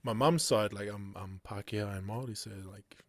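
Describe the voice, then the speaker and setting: A man's voice: fairly low pitch, brisk, no room sound. A man with a New Zealand accent hosting a podcast, laid-back and casual, laughing often and calling his guest "bro.". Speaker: podcast host. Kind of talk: casual chat.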